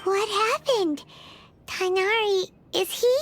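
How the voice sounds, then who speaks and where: A woman voicing a tiny, childlike, inquisitive fairy companion in a video game, with a squeaky voice. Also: slow pace, exaggerated voice. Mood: curious, concerned. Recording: loud background music behind voice.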